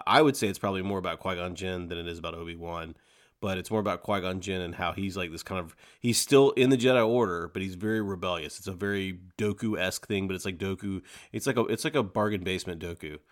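A man co-hosting a podcast in casual discussion, retelling things in an animated way and acting out quotes. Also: sits low. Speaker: podcast co-host. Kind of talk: casual discussion of a fiction audiobook.

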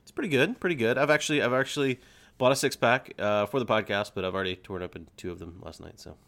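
A man's voice: mid-range voice, fast, creaky, a bit bright. A man hosting a podcast, chatting casually over drinks with his co-hosts. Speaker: podcast host.

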